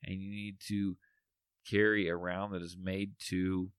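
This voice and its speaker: A man co-hosting a casual podcast, tired, with a voice going a bit raspy, rambling with lots of fillers. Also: low-pitched, little pitch movement, unhurried, no echo, clean studio sound. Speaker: podcast co-host.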